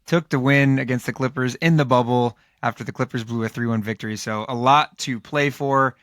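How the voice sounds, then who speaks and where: A man with a hoarse, worn voice co-hosts a casual sports podcast. He rambles and restarts, piling up fillers at a middling pitch. The recording is close and dry. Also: steady tone, fairly quick, fairly bright tone, no echo. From Los Angeles area, United States. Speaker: podcast co-host.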